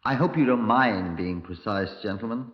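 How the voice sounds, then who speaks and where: Male, lowish voice, sarcastic police chief inspector, brusque and dry, dull vintage radio sound. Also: normal speed, breathy edge. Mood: brusque, impatient. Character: brusque, sardonic Scotland Yard chief inspector. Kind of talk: 1950s radio crime drama acting. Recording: dull, lo-fi 1950s radio recording.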